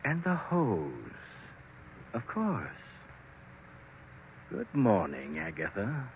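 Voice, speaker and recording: A subdued, fairly low-voiced husband, meek and hesitant as if long henpecked. He is evasive and nervous in old radio drama style. The sound is very thin, like a telephone line. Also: wildly swooping pitch, very slow, slight background noise, heavily acted. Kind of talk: old-time radio acting.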